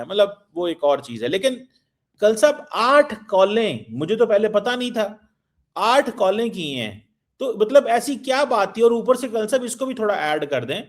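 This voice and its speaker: This is a man hosting a political talk show, his voice sitting high for a man. He asks pointed, sarcastic questions and keeps prodding his guest. He talks like a regular host who is used to pushing for answers. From Pakistan.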